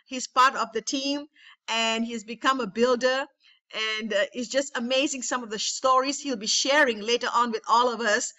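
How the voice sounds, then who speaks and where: A woman's voice: a little high, steady tone, normal speed, pushed and bright. A woman leading a network marketing training over a webinar, talking enthusiastically and repeating phrases to rally her listeners. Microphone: webinar call.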